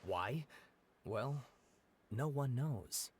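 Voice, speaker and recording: A man's voice: mid-range voice, animated, extremely slow, slight background noise. An actor playing an amiable, slightly awkward young male researcher in a video game. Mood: explaining. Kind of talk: video game dialogue acting.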